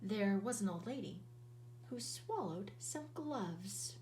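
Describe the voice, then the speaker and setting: A woman teacher reading a children's picture book aloud in an expressive, sing-song storytelling voice, drawing out words. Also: medium pitch, takes it slowly, roomy sound, recorded from a distance, slight background noise, low-quality recording.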